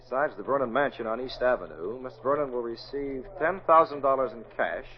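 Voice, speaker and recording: A man's voice: mid-range voice, fairly quick, lightly acted. A man with an American accent playing a suave young newspaper publisher in a muffled, hissy 1940s radio drama. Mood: pondering.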